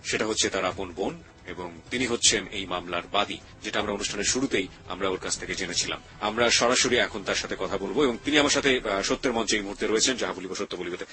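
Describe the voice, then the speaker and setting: A man's voice: fairly low pitch, fast, sharp tone. A man with a Bangladeshi accent hosting a confessional radio interview show. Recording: music playing behind the voice. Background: background music.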